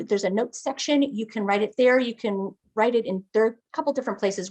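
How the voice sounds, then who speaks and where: A woman acting as game master, patiently walking a player through character creation and often checking that they follow. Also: mid-range voice. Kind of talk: explaining game rules.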